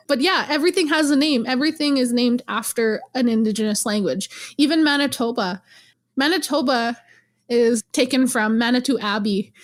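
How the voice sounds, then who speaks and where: A young woman from Canada, a guest in an interview, telling stories in a lively, teasing way and laughing often. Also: fairly high pitch. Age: young adult. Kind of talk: casual interview.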